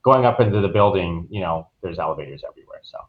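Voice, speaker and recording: Low-voiced American man, dull lo-fi sound. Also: animated, quick pace. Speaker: travel agent guest. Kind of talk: conversational podcast interview. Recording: dull, lo-fi online call audio.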